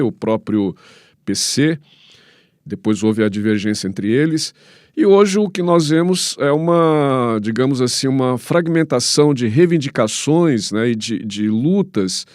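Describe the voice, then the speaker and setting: A Brazilian man speaks as an academic guest in a halting, explanatory way. His pitch is middling and the recording is dry. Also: a little mellow, dry recording. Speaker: guest professor. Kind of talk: explanatory panel talk.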